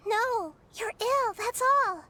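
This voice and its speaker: An actress playing a small, gentle, wise child goddess in a video game, speaking in a soft, earnest, composed way. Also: female voice, extremely high pitch, slow pace, heavily acted. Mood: earnest pleading.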